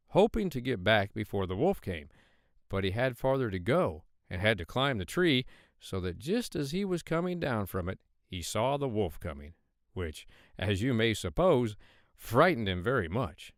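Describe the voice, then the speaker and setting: A man reading a children's fairy tale aloud as an audiobook narrator, in an expressive storytelling manner. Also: on the low side, no room sound, studio quality.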